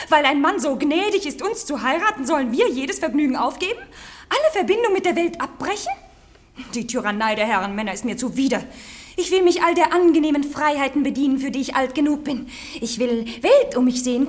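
Radio play: haughty, pleasure-loving young wife, coquettish, high and very lively in pitch. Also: female voice, a little fast. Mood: defiant. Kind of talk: theatrical radio play acting.

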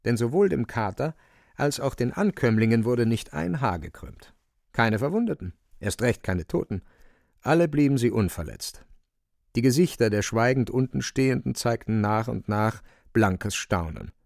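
A man's voice: mid-range voice, lively intonation, normal speed, creaky, darkish tone, dry recording, clean studio sound. A man narrating a literary radio play as a wry, vivid storyteller with dramatic delivery.

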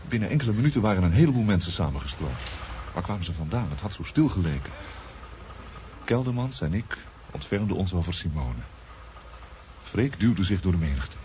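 An actor playing a sober, thoughtful man recounting strange events in a radio drama, earnest and measured. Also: low voice, sing-song, dark tone. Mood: grave, dramatic. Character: sober, earnest male friend, thoughtful witness to the uncanny. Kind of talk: literary radio drama narration. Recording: faint background noise.

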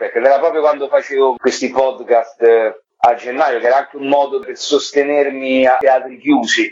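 Informal, rambling man on dull radio audio. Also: mid-range voice. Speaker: guest, stage storyteller. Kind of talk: casual spoken interview.

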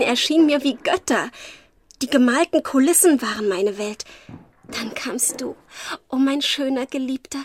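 A woman playing an infatuated, fragile young actress in a literary radio play, with a breathy voice. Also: high voice.

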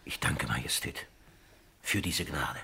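Aging government minister, deep husky voice, servile, pedantic, flustered, radio drama. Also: male voice, expressive, measured pace, bright, forceful tone, full character voice. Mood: humbled. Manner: servile, repetitive, fussy.

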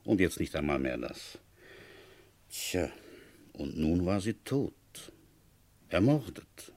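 An actor playing a seasoned middle-aged village police commissioner who narrates and questions with deliberate, dramatic phrasing in a radio drama. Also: male voice, low-pitched, sing-song, a little mellow, full character voice. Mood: brooding narration. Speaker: detective narrator.

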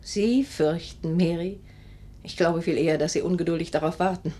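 A woman's voice: fairly low pitch, steady tone, moderate pace, dark tone, slight background noise, lightly acted. A woman playing a haughty, spiteful forty-year-old stepdaughter in a 1950s radio play, sneering and biting. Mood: spiteful, accusing.

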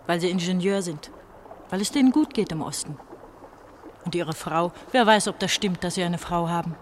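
A woman's voice: on the low side, normal speed, a little hiss or hum, natural voice. An actress playing an exhausted, frightened young woman on the run in a radio drama, speaking in a fragile, intense way. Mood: probing. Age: young adult. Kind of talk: German radio drama acting.

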